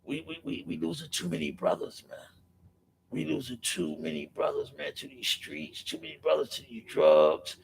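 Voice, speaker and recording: A middle-aged man with a raspy voice, speaking in an impassioned, profane monologue on a podcast, filling his pauses with "man" and circling back through restarts. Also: a little high, very animated, rough recording. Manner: rambling with restarts. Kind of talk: impassioned street-life monologue.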